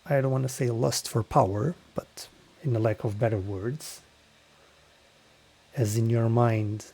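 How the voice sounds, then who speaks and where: A man as dungeon master, slowly narrating a scene with halting pauses. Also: mid-range voice, fairly even intonation, creaky, mellow, husky. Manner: slow, halting descriptive narration. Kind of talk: narrating a tabletop game.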